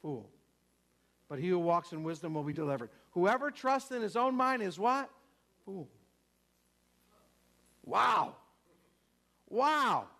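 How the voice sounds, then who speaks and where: A man with a notably high voice delivers a sermon with strong emphasis. He repeats his key phrases and puts questions to his listeners. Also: sing-song, slow, pauses often, sharp tone. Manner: emphatic, repetitive, asks the congregation questions. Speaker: preacher.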